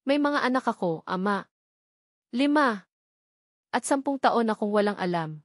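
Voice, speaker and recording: A woman's voice: medium pitch, animated, measured pace, no echo, clean studio sound, close to an everyday voice. A woman with a Filipino accent voicing an angry, wounded grown son who speaks bluntly in a narrated drama. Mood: hurt, angry. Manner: blunt, confrontational.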